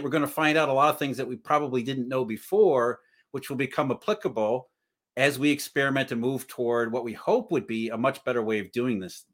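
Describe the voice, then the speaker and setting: A man speaking as a podcast guest, earnest and thinking aloud with restarts. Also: mid-range voice. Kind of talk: casual podcast conversation.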